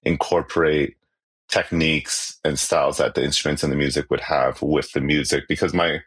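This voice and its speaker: A Canadian musician, a native Cantonese speaker, talking casually in an interview with many fillers and restarts. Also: male voice, extremely low pitch, fairly even intonation, normal speed, fairly bright tone, breathy edge.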